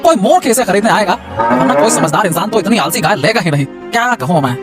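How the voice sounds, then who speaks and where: A man's voice: high voice, big pitch swings, fast, over loud music. A man voicing an impatient, wily cattle seller in a cartoon, haggling and mocking in bursts of repeated words. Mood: grumbling. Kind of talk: exaggerated Hindi cartoon voice acting.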